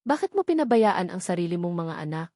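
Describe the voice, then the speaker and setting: A woman's voice: on the low side, big pitch swings, fairly quick, warm tone, dry recording, clean studio sound. A Filipino woman voicing a desperate, anguished young aunt in a dramatic love story, pleading and emotional.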